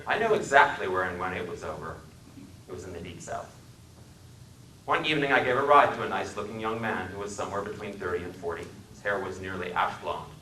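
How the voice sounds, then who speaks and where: A man from Wisconsin giving a dramatic reading in a library, measured and dryly wry in his storytelling. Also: deep voice, pushed and bright, reverberant, recorded from a distance, a little hiss or hum, rough recording. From Wisconsin, USA. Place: public library room.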